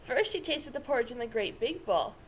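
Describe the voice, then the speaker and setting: A woman reading a children's story aloud with expressive, dramatic narration. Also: fairly high pitch, fairly quick, a little hiss or hum. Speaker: storybook reader.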